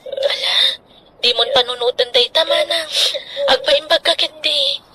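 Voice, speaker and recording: An actress with an Ilocano accent playing a concerned relative who admonishes earnestly in a radio drama. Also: female voice, sits high, a little slow, pushed and bright, rough recording. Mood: admonishing.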